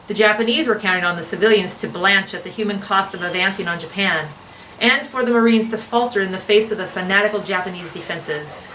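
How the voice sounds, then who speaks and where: A woman's voice: mid-range voice, bright, forceful tone, poor audio. Earnest woman reading aloud, faint noise. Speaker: guest reader. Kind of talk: reading aloud from a history book.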